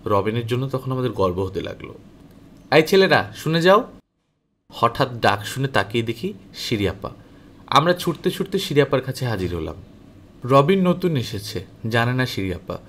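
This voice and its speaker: Animated male storyteller with lively pitch. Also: normal speed, slight background noise. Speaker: audiobook storyteller. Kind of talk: expressive scripted story narration, first-person as a boy.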